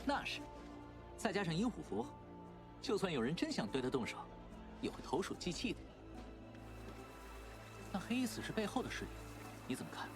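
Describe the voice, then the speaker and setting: A playful young hero who teases everyone around him, his voice light and high with a lively, bouncing pitch, over clear background score. He sounds like a character in a polished animated series. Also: a little fast, pauses often. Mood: calm. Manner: teasing, playful. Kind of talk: Chinese anime dub. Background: show soundtrack music.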